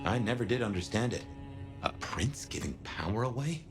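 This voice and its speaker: Game casting: man as smooth-talking, world-weary private eye, low pitch, drawling and wry, loud music underneath. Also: lively intonation, normal speed, close to an everyday voice. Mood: musing. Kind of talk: noir video game voice acting.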